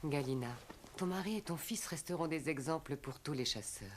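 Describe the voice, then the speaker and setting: An actress playing a gentle, wry hunter's wife in a French cartoon. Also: female voice, low-pitched, normal speed, natural voice. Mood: resigned. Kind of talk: French animated series dubbing.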